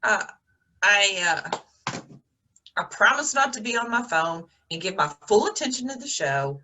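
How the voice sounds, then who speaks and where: A woman playing a scatterbrained, flustered theater board president in a farcical comedy, with a husky voice and halting delivery. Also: mid-range voice, expressive, bright, forceful tone, a little hiss or hum. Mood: confused, defensive. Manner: dithering, halting. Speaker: actor in online comedy.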